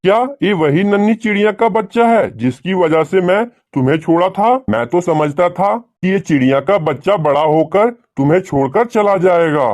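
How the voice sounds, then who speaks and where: A man's voice: high voice, a little mellow. A man voicing a proud, resentful adult male crow in a cartoon, speaking with dramatic emphasis.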